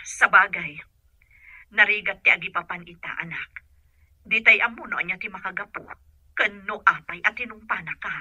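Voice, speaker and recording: Very sharp, husky Ilocano mother. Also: medium pitch, moderate pace, no echo, muffled recording. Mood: advising. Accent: Filipino, Ilocano. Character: steady, advising mother of a grown son. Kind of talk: Ilocano radio drama acting.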